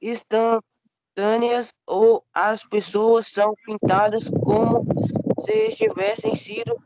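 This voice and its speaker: Hoarse student w a cold, high voice, reading real slow and tripping over words, muffled noisy line. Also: male voice, expressive. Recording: noisy lo-fi call audio.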